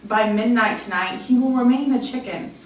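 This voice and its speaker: A woman's voice: on the high side, moderate pace, lightly acted. A woman reading the part of a hesitant, earnest witch who regrets her temper, in an amateur script table read. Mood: earnest warning. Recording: distant mic, echoey room, faint noise.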